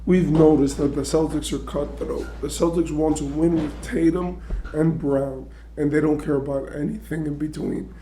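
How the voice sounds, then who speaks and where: Hoarse young man losing his voice. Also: on the high side, fairly even intonation, normal speed, mellow, a little hiss or hum, poor audio. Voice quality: strained, hoarse-sounding voice with throat clearing. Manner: chatty, thinking aloud, many "right?" and "you know". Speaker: sports commentary YouTuber. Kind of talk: casual basketball trade commentary.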